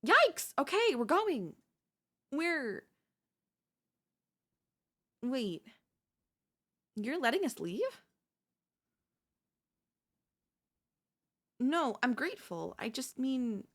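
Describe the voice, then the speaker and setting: A woman's voice: on the high side, a bit bright, exaggerated voice. A woman playing a chatty, cheeky female zombie in a comedic audio roleplay, breezy and falsely friendly. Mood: sheepish, relieved. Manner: breezy coaxing, falsely friendly, awkward backpedalling.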